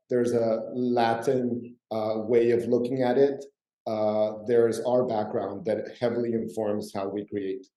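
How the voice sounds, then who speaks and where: A man from Mexico City, speaking as a perfumer on a panel, reflective and pausing often with fillers. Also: medium pitch, dark tone, reverberant, rough recording. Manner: reflective, with frequent fillers and restarts. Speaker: perfumer and brand founder. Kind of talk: panel discussion answer.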